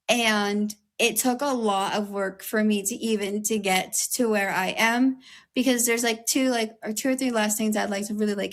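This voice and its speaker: A young American woman, a guest in an interview, speaking candidly in an earnest, conversational way with a slightly raspy voice and many "like"s and "um"s. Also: a little high, fairly bright tone. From United States. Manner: earnest, heavy use of "like" and "um".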